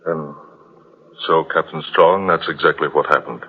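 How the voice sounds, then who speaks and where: An actor playing an astonished, earnest seafarer, melodramatic in an old radio drama style. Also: male voice, very deep voice, steady tone, moderate pace, slightly rough. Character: astonished, earnest male seafarer, witness to a haunting. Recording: dull old radio recording, music behind.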